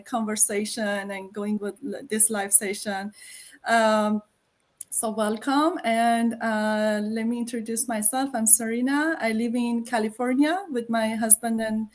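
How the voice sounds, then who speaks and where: A woman from Iran in her thirties or forties, co-hosting a live video call and introducing herself hesitantly. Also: a little high. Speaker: co-host. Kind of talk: casual live-stream introduction.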